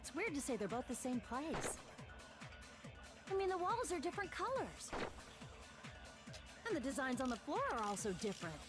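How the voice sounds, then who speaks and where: An imperious young princess from an anime video game, speaks in a very high, poised voice. Her intonation swings widely, and loud game music plays behind her. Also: big pitch swings, normal speed, pauses often. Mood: doubtful.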